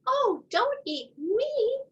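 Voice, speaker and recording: A woman voicing the littlest billy goat, small and clever, with a high, squeaky plea. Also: sing-song, extremely slow, fairly bright tone, highs cut off, heavily acted. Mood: pleading.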